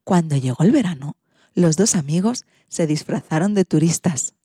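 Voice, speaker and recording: Casting: female children's narrator, soft mellow timbre with a breathy husk, slightly low. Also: lively intonation, moderate pace, no echo, studio quality. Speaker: storyteller and presenter.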